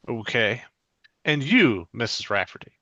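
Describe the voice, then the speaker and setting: A man's voice: mid-range voice, very animated, unhurried, fairly bright tone, dry recording. A man playing a polite, formal official questioning people in a comedy sketch read, in slightly dull sound. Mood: measured. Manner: formal, inquiring straight man. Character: polite, measured official interviewing alien abductees.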